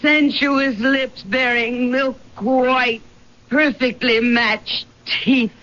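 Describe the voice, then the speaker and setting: An actress playing a menacing, seductive woman with slow, taunting theatrical delivery in a radio mystery. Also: high voice, steady tone, pushed and bright, full character voice. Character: menacing seductive woman haunting a man named Ernest. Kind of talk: old-time radio mystery acting. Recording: dull, noisy old radio recording.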